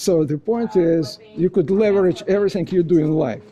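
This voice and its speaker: A man's voice: sits high, fast talker, highs cut off, crowd noise. A man with a Russian accent, eagerly explaining his project in a casual chat and often checking in with his listener. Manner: eager explainer, keeps checking in with "okay?" and "right?".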